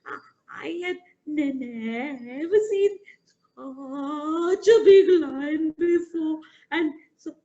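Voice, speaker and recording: A woman's voice: high-pitched, extremely slow, warm tone, highs cut off, voice pushed up. A woman voicing a tiny, frightened male mouse who stammers and pleads tearfully. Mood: frightened pleading.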